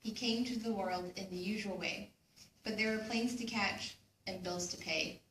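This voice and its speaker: A woman's voice: mid-range voice, steady tone, moderate pace, a bit bright. A young woman narrating scripted dramatic pieces as a student reader in a readers theater. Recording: echoey room, distant mic, faint noise.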